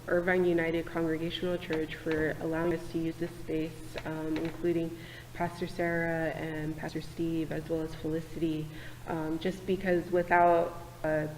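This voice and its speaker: Female host for a casual panel, low and even, filler-heavy, echoey church room. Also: slight background noise. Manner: relaxed, hesitant, many fillers.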